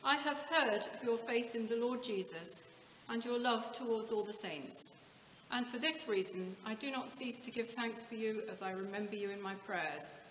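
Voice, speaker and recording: A woman's voice: on the high side, normal speed, a bit bright. Woman in her sixties, English accent, formal lectern reading, reverberant stone church with faint background noise. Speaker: scripture reader at a church service. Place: inside a stone village church. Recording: echoey stone church, audible room noise.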